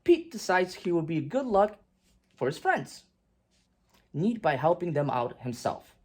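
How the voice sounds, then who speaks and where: Husky, lively woman reading a storybook. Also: lowish voice, measured pace. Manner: expressive, with emphatic swings and hushed drops. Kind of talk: animated children's picture-book read-aloud.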